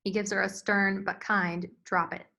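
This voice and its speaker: Female narrator for screenplay directions, mid-pitched, bright, with noticeable creak, scripted delivery. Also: flat delivery, moderate pace. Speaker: narrator reading stage directions.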